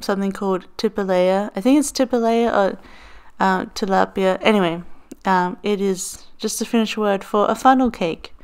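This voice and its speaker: A woman narrating a planner voiceover in a relaxed, meandering way, full of ums and little laughs. Her voice is smooth and clear, with a touch of morning crackle, at an ordinary middle pitch. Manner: chatty, rambling with frequent ums and laughs. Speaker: planner vlogger. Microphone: voiceover over overhead desk footage.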